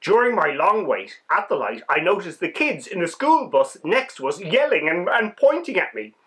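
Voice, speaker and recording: A man voicing a small, excitable winged insect telling its adventure in a children's storybook, wide-eyed and dramatic. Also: high-pitched, moderate pace. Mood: curious, awkward. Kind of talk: children's storybook read-aloud.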